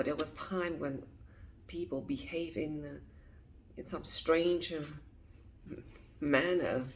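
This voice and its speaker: A woman in her fifties from Vietnam, speaking, answering a list of questions softly and hesitantly, often dropping to a whisper. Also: low-pitched, a little hiss or hum, low-quality recording. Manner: soft, hesitant, with frequent restarts and whispered phrases. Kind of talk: reading and answering interview questions.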